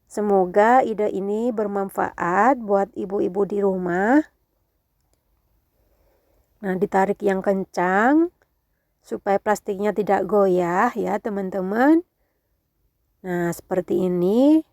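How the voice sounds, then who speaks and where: A woman with a nasal, congested voice from a cold gives a casual cooking tutorial, gently instructing her viewers. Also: mid-range voice, slow, pauses often, dry recording, studio quality. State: has a cold. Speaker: home cooking video host.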